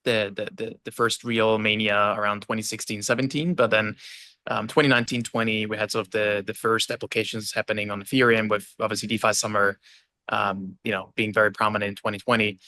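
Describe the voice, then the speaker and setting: A man's voice: on the low side, little pitch movement, fairly quick, bright, forceful tone, no echo. A young man from Austria, a podcast guest on a video call, talking fluently with many fillers and tag questions. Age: young adult. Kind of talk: casual interview talk. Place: indoor room with plant, video call.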